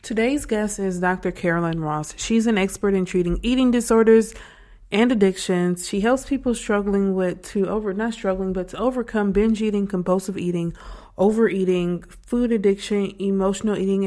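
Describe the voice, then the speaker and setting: A young American woman hosting a podcast, speaking softly with many ums and restarts in a casual interview chat. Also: medium pitch, moderate pace. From Michigan, USA. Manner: soft-spoken, with frequent ums and restarts. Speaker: podcast host.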